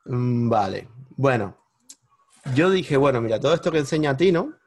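A man with a Cuban accent, a podcast guest telling his story in lively casual conversation and bursting into high exclamations at the big moments. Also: moderate pace. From Cuba. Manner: animated, jumps into high-pitched exclamations when retelling moments.

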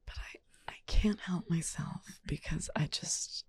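A woman co-hosting a podcast in a soft whisper, speaking frankly and crudely, close to a special ASMR microphone, with a voice she says she often strains. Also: low-pitched, takes it slowly, gravelly, husky. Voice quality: strains her voice. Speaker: podcast co-host.

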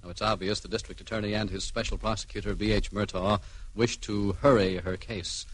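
A man narrating an old radio serial with portentous, measured emphasis. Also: fairly low pitch, fairly even intonation, breathy edge, poor audio. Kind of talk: dramatic scripted narration, 1940s-50s radio.

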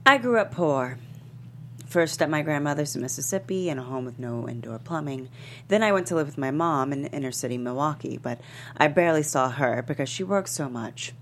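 A woman in a dramatic table read playing a famous talk-show host testifying in court, wounded but defiant, earnest and deliberate. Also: sits low, animated. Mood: pained, grave. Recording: noticeable background noise and hum.

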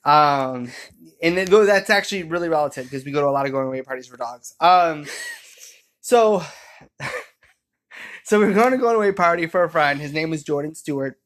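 A man's voice: a little high, expressive, normal speed, long pauses, fairly bright tone. A young man chatting casually as he co-hosts, telling a story in a jokey, rambling way and acting out little quoted asides. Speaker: co-host telling the couple's story.

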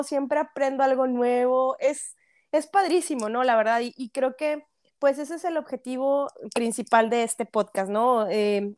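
A chatty woman with a Mexican Spanish accent hosts a casual podcast interview. Her voice sits a little high, and the sound is dry and close, with no room around it. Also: darkish tone, dry recording. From Monterrey, Mexico. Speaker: podcast host.

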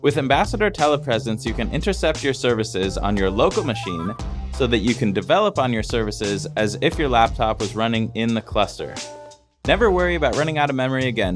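A man reading scripted podcast sponsor messages as the show's host. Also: medium pitch. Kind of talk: scripted ad read. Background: guitar music.

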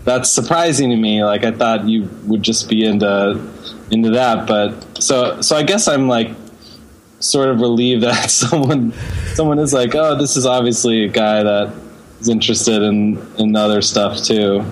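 A man speaking as an interview guest in a casual, halting and thoughtful way. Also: lowish voice, fairly even intonation, a little hiss or hum, low-quality recording. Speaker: interview guest, creative director.

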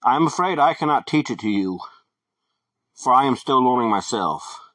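A man hosting a podcast, giving a scripted introduction in a measured, deliberate way. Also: medium pitch, expressive, fairly bright tone, no room sound. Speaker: podcast host.

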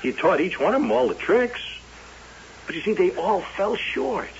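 A man's voice: high voice, animated, vocal fry. An actor playing a nervous, stammering male carnival worker in a radio drama. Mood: uneasy. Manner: halting, stammering. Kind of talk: 1970s radio drama acting. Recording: hissy, dull old radio broadcast.

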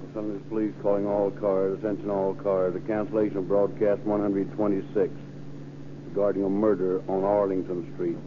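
A man delivering an official 1930s police radio bulletin as a dispatcher, in a monotone. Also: low-pitched, brisk. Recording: very noisy, tinny old radio recording.

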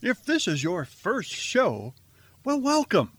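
A man's voice: high voice, huge pitch swings, slow pace, fairly bright tone, slight background noise, full character voice. A man playing a bumbling, earnest, zombie-loving host of a radio theater show, delivering dramatic introductions. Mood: theatrical welcome.

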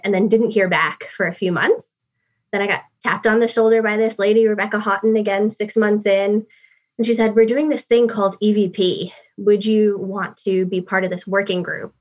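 A woman from New York tells her career story with a clear New York accent. She talks in a casual, chatty way, leaning on fillers as she goes. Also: mid-range voice. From New York City. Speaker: guest. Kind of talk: casual interview talk.

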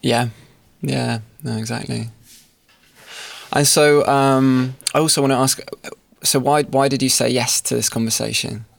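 Gravelly, flat-voiced podcast host. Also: male voice, medium pitch. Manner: halting, many ums and restarts. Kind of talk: interview questions.

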